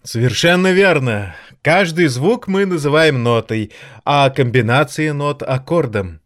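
A man's voice: fairly high pitch, expressive, a little slow, fairly bright tone. A man playing an elderly piano maestro in an audio drama, grandiloquent and indulgent, with theatrical, florid delivery. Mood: indulgent, instructive. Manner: theatrical, florid, condescendingly affectionate.

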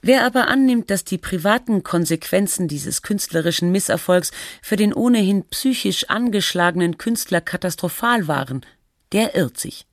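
An actress plays a sober female narrator of a radio documentary. She reads formal, analytical script in a measured manner at a middle pitch. The sound is dry, with no room on it. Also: animated, no echo, close to an everyday voice.

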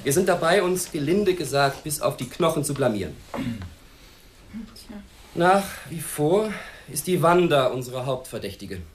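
An actor playing an impatient police chief in a radio drama, curt, sardonic and commanding. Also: male voice, on the high side, big pitch swings, a little slow, noisy background. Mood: stern, frustrated. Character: impatient, authoritative police chief briefing his squad. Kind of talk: German radio drama acting.

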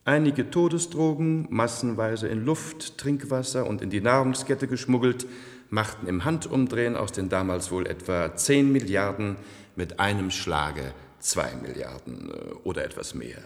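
A man acting a complacent, cultured ruler of a future elite in a 1970s radio play, suave, measured and condescending, in an echoey hall. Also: on the low side, lively intonation. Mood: proud lecturing.

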